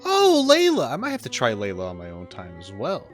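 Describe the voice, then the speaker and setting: A man's voice: medium pitch, wildly swooping pitch, brisk, soft background music. A man hosting a reaction video, giving casual, chatty commentary with informal gamer slang. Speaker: host, animator reacting to game videos.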